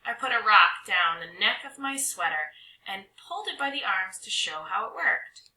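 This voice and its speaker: Animated, very bright-voiced woman reading a storybook. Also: mid-range voice, normal speed. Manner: dramatic, with stretched words and hushed drops. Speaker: storybook reader. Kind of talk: expressive children's read-aloud narration. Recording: roomy echo.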